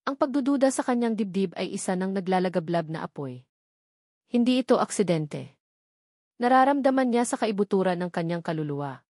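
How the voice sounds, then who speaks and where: A woman's voice: medium pitch, expressive, brisk, darkish tone, no room sound. Filipino woman narrating a dramatic story, dry sound.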